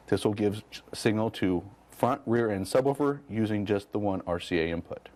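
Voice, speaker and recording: A man presenting an instructional product walkthrough as a demonstrator, speaking in a measured, step-by-step way. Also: fairly low pitch, darkish tone.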